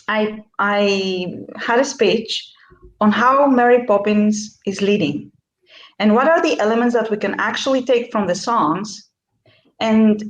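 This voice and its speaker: A woman's voice: medium pitch, roomy sound, low-quality recording. A woman from Greece, a workshop speaker answering questions on a video call, with frequent fillers and restarts. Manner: hesitant, many fillers and restarts. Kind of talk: online interview.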